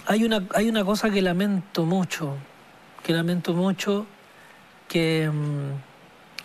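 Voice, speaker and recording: High-voiced guy in his 40s, slow and reflective, lots of restarts. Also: rough recording. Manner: slow, thoughtful, halting with many restarts. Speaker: singer-songwriter guest. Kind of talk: reflective interview talk.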